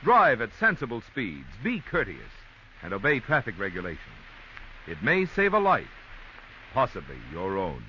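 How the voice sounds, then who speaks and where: A man's voice: on the low side, huge pitch swings, normal speed. A man with an American accent announcing in a polished, formal, emphatic 1940s radio style from a script. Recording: muffled old radio recording with music. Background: music.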